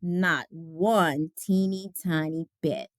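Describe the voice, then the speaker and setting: A woman reading a children's picture book aloud as a storyteller, with expressive, careful phrasing. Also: low-pitched, slow pace, dry recording, clean studio sound.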